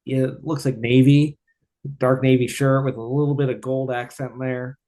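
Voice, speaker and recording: A man's voice: medium pitch, normal speed. Laid-back man hosting a podcast. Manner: relaxed, slangy and upbeat. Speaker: podcast host. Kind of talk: casual chat.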